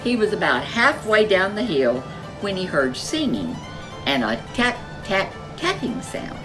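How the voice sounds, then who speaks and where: A woman storyteller reading a folk tale picture book aloud in a gentle, expressive way. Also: medium pitch, unhurried, over music, low-quality recording.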